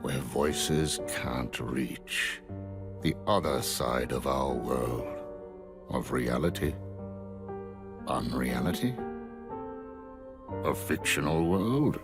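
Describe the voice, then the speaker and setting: An actor playing a grave, wise old male sorcerer in a video game, solemn and deliberate. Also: a bass voice, expressive, over music, exaggerated voice.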